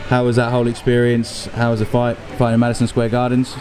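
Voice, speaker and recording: An Australian man interviewing a boxer in a relaxed, friendly way, with frequent filler words. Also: mid-range voice, fast talker, crowd noise. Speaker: boxing interviewer. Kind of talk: casual interview.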